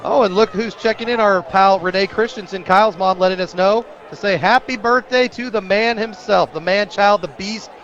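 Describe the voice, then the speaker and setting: High-pitched, bright-voiced male football announcer. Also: moderate pace, few pauses, dull audio. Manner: excitable, rising to shouts on big plays. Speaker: radio play-by-play announcer. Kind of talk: live football play-by-play commentary. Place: outdoor football stadium broadcast.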